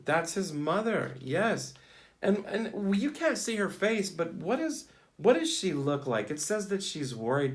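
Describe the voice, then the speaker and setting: Guy teacher doing storytime for little kids, lots of questions, voice swings up and down, kinda high for a man. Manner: asks questions, praises and repeats answers in short phrases. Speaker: teacher reading a picture book to young children. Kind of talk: interactive storybook read-aloud.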